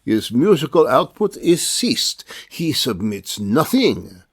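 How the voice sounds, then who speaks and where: An actor playing a concerned, reasoning confidant in an old-time radio drama, with measured theatrical delivery. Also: male voice, mid-range voice, big pitch swings, dry recording, studio quality. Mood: grave. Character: concerned, reasoning male confidant of a troubled composer.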